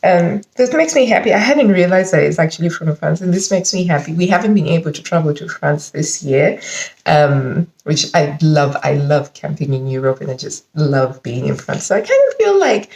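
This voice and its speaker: A woman's voice: low-pitched, moderate pace, gravelly. Chatty stuffy-nosed woman. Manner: chatty, enthusiastic, animated with frequent laughs. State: slightly congested from hay fever. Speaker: sewing vlogger. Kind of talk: casual fabric haul chat. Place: living room at home, indoors. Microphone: camera mic at close range. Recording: echoey room.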